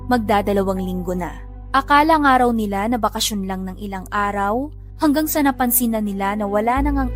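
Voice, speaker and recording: A woman's voice: a little high, lightly acted. A woman with a Filipino accent narrating in first person as a worried mother, in a sorrowful scripted drama style.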